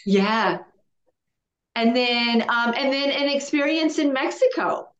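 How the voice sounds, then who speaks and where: A woman hosting an interview who asks her questions slowly and searchingly, with many fillers. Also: a little high, a bit bright, roomy sound. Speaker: interview host. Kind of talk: casual interview chat.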